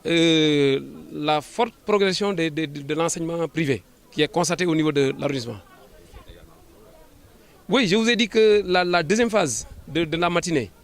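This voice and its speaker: Higher-voiced Senegalese man, formal and halting. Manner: formal administrative phrasing, halting with repeated words. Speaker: government official, sub-prefect. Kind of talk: press interview answers.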